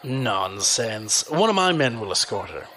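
An actor playing a menacing, smooth-talking crime boss in an audio drama, with an oily, condescending manner. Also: male voice, lowish voice, sing-song, unhurried, bright, forceful tone, exaggerated voice. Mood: smug.

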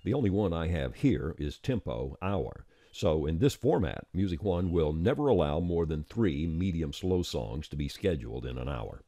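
Very deep-voiced man narrating a tutorial. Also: big pitch swings, mellow, dry recording. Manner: measured, step-by-step instructing. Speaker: software developer giving a tutorial. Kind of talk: explanatory software tutorial narration. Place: close-miked dry voice-over.

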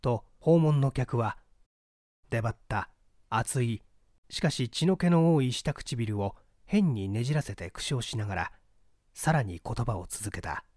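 A man narrates literary mystery fiction like a stage actor. His voice is medium in pitch with lively, wide-moving intonation. The recording is dry, free of room sound. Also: a little slow, no room sound. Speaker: audiobook reader and stage actor. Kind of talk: dramatic scripted literary narration.

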